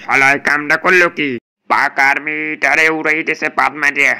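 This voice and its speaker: A man playing a rough, impatient army soldier in a comic cartoon, barking and cursing. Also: on the high side, level tone, normal speed, bright, forceful tone. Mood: impatient, angry.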